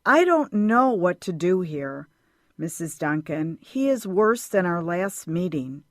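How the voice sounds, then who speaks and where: A woman's voice: on the low side, big pitch swings, slow, dry recording, studio quality. A woman playing a stern, regretful school official in an audio drama. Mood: grave, firm.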